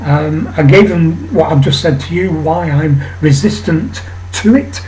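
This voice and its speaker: A man from England with a raspy voice today, hosting a rambling casual monologue to camera with many ums and restarts. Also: fairly high pitch, measured pace, mellow, a little hiss or hum, poor audio. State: raspy voice, coughing. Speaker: channel host. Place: home flat.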